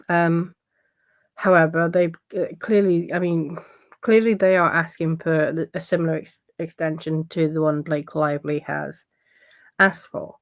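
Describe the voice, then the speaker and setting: A woman with a croaky voice giving casual commentary on court filings in a loose, conversational way. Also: sits low, no echo. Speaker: legal commentary vlogger.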